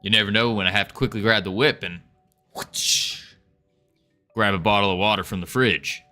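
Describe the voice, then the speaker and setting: A man's voice: fairly low pitch, fairly even intonation, fast talker, pushed and bright, faint music behind. A young man chatting casually as a livestreamer, putting on an American Southern accent with playful, folksy cowboy talk. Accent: put-on American Southern. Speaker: live streamer chatting with viewers.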